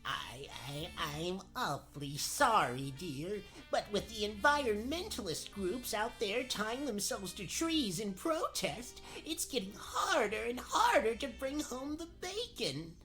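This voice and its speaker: A meek woodcutter husband, played by a woman in a fairy-tale comedy. The voice is timid and apologetic, mid-pitched, with lively wavering intonation. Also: measured pace, hardly any pauses, faint music behind, poor audio. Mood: sheepish. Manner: stammering, timid.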